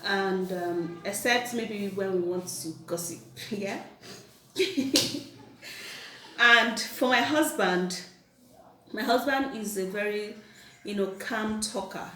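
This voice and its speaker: Chatty woman with a loud voice held back. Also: mid-range voice, slow pace, pauses often, distant mic, background noise. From Nigeria. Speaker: vlogger co-host. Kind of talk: casual couple chat. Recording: roomy echo, off-mic.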